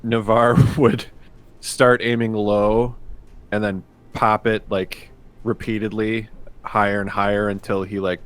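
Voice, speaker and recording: Slow, smooth-voiced man gaming. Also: fairly low pitch, flat delivery. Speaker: player in a tabletop roleplaying game. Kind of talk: casual game talk.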